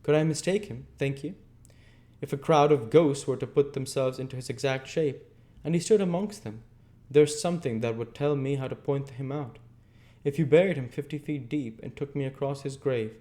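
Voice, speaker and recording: A man's voice: mid-range voice, moderate pace, dark tone, voice pushed up, lightly acted. A man voicing a menacing, hate-filled villain from a classic novel, with intense, emphatic delivery. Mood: dreadful hatred. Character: hateful, menacing man vowing he would know his quarry.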